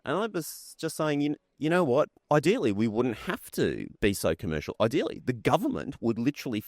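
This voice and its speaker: A man hosting a podcast interview, asking questions in a quick, animated way and softening them with hedges. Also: mid-range voice, dry recording, studio quality. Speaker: podcast host. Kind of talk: conversational interview. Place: conference venue.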